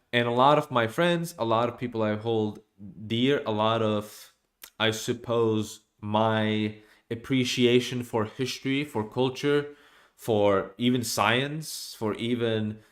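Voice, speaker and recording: A man's voice: fairly low pitch, measured pace. Young man ranting at his webcam. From Norway. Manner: animated, hand gestures, frequent restarts and asides. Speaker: streamer. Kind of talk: opinionated monologue to camera. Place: small room at home, indoors.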